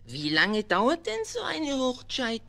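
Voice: sits high, huge pitch swings, takes it slowly, creaky, pushed and bright, heavily acted. An actor voicing a plaintive, complaining animal bride in a children's audio play, whining.

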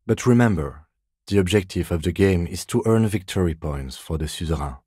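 Middle-aged police lieutenant, video game dialogue, husky, measured, understated, dry wit, deep voice, close dry sound. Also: male voice, animated, warm tone, no echo. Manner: measured, understated, dryly wry.

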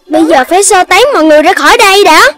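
A woman's voice: very high voice, racing, fairly bright tone. A woman voicing a mischievous young animal child in a children's cartoon, with a childlike way of talking. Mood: eager. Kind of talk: Vietnamese children's cartoon dubbing.

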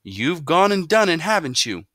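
A man's voice: fairly high pitch, very animated, normal speed, bright, forceful tone, no echo, studio quality, pitched above the performer's own voice. A man voicing a stern, exasperated mother scolding her son in a storybook reading.

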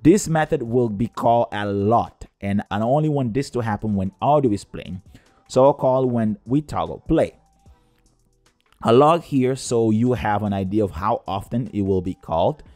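A man's voice: fairly low pitch, normal speed, a little mellow, no echo, faint music behind. A man narrating a scripted step-by-step coding tutorial in a methodical, explanatory way. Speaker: tutorial presenter.

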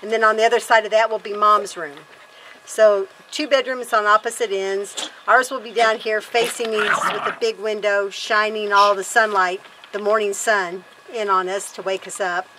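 A woman's voice: medium pitch, steady tone, normal speed, background noise. A woman in her fifties chatting casually to camera outdoors on a wooden deck, rambling and correcting herself as she talks. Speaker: homesteader vlog host.

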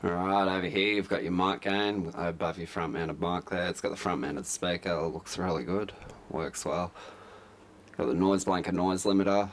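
A man casually walking through the controls of a CB radio at home, talking in a relaxed, chatty way. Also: sits low, slight background noise. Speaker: radio seller demonstrating a CB set. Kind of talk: casual product demonstration. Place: indoors, room at home.

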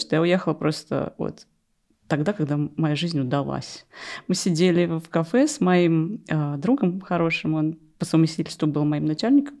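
A woman's voice: low voice, a little mellow. A middle-aged woman from Russia telling her story as a podcast guest, wry and self-deprecating, stopping to correct herself, close on a studio mic. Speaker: interview guest, opera rehearsal pianist. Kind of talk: conversational podcast interview, personal storytelling. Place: small furnished podcast studio. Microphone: close studio microphone on a stand.

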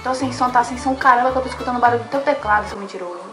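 High-voiced Brazilian woman teasing strangers. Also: a bit bright, soft background music. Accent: Brazilian Portuguese. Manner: teasing, sarcastic, quick banter. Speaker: YouTuber. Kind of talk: casual webcam chat with strangers. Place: home kitchen, indoors. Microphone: laptop webcam mic.

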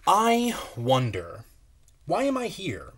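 A man in his thirties reading a children's picture book aloud in a measured, wondering way with pauses. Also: a little high, huge pitch swings, fairly bright tone, breathy edge. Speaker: children's story reader. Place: small bright room at home, indoors.

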